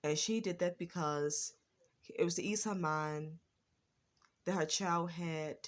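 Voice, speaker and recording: A woman's voice: on the low side, fairly even intonation, slow pace. A woman vlogger with a stutter, telling a personal story and circling back over the same phrases again and again. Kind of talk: personal testimony vlog.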